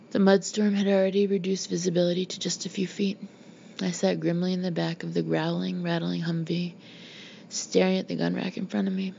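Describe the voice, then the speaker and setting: A woman narrates a memoir in the manner of an audiobook reader. Her voice sits on the low side with a lot of gravelly fry. The recording is a dull, homemade-sounding one. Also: mellow. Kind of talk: reading a memoir aloud, scripted narration. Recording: dull lo-fi home recording, background noise.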